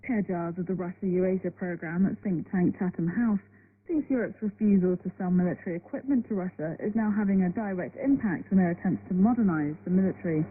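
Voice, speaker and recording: A woman's voice: fairly low pitch, a little fast. A woman reading a scripted news report as a radio reporter. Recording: narrow, noisy shortwave radio broadcast.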